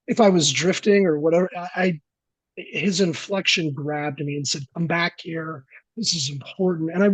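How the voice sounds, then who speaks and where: A man on a video call asking a long, halting question with many restarts. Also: fairly high pitch, dry recording, poor audio. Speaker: audience member asking a question. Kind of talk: rambling conversational question. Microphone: computer mic on a video call.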